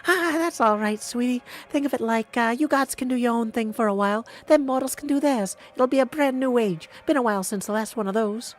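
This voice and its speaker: A man's voice: very high-pitched, a little fast, few pauses, voice pushed up. A man voicing a breezy, folksy goddess of fate from a video game, chummy and offhand. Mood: chummy, dismissive. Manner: offhand, chummy, calls listener sweetie. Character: breezy, folksy female Fates, casual elder goddesses. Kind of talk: video game character practice.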